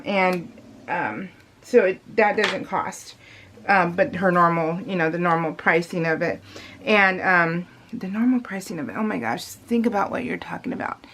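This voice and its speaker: A middle-aged woman with an American accent, rambling casually and giggly as she narrates a vlog, often interrupting herself. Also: medium pitch. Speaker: vlogger. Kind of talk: casual chatty voice-over ramble.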